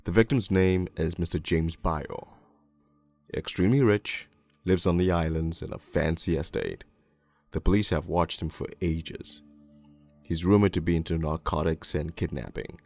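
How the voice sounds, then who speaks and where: Deep, gravelly, jaded police detective. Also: male voice, a little mellow, no echo. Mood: cynical, brooding. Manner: world-weary, deliberate, sardonic. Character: jaded, crude, cynical male police detective. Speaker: narrator and lead voice actor in an animated storybook. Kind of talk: first-person hard-boiled crime narration.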